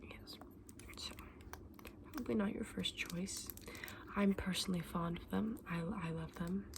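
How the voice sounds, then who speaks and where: A woman's voice: lowish voice, measured pace. Whispering woman in ASMR roleplay, noisy background. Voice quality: breathy whisper. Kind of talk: whispered ASMR roleplay as a dragon egg saleswoman.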